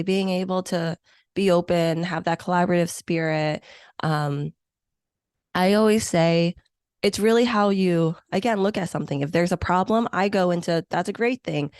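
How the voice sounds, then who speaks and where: Low-pitched woman guest, upbeat and reflective, lots of um and like, dead dry sound. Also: darkish tone, clear, resonant tone, no echo. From born in Hong Kong, grew up in the U.S. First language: Chinese or Vietnamese. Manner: enthusiastic, reflective, uses many fillers. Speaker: senior meeting planner, podcast guest. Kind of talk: conversational interview.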